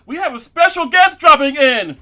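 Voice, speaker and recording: A man's voice: extremely high pitch, lively intonation, normal speed, sharp tone. A man hosting a casual comedy podcast, rambling with many fillers and restarts and laughing at his own jokes. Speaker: podcast host.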